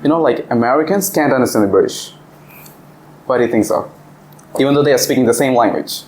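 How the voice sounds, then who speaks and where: A man's voice: mid-range voice, animated, fast, darkish tone, soft background music. A man leading a discussion with an English speaking club, asking the students questions. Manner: asks the class questions. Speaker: visiting English teacher. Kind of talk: classroom discussion.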